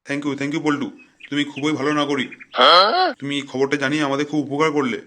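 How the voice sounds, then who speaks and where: A man playing a sharp, bossy cartoon policeman. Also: medium pitch, flat delivery, a little fast, natural voice. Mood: approving, brisk. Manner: bossy, blunt, crude. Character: gruff, threatening, foul-mouthed male police officer. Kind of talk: comic cartoon dialogue.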